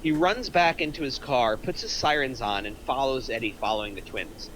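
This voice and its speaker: A man's voice: mid-range voice, normal speed, fairly bright tone, poor audio. A man reading screenplay action aloud as a scripted narrator. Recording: faint background noise.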